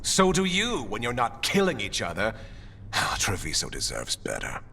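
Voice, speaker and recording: A bitter ally from a fantasy game, sarcastic and distrustful. His voice is bright and forceful, with a lively swing in pitch and a cutting edge. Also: moderate pace, creaky, slight background noise, full character voice. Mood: bitter sarcasm. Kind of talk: dramatic video game acting.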